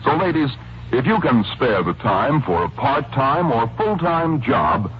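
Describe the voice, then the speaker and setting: A man's voice: mid-range voice, very animated, unhurried. An American man announcing a formal, emphatic 1940s radio public appeal, on a dull, hissy old recording.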